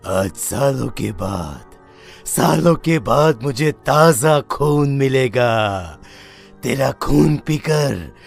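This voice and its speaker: A bloodthirsty evil spirit speaks extremely slowly in a gravelly growl. It is menacing and gloating, a cartoon horror villain with faint music behind. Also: male voice, mid-range voice, lively intonation, full character voice. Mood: gloating menace. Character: bloodthirsty male evil spirit of a haunted well.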